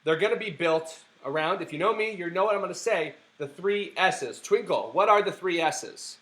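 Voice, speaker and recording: Hoarse, fairly high-voiced man hosting a live stream. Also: moderate pace, fairly bright tone. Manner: enthusiastic, preachy advocacy with many fillers and restarts. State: hoarse, sore throat. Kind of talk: casual live-stream chat. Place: family home.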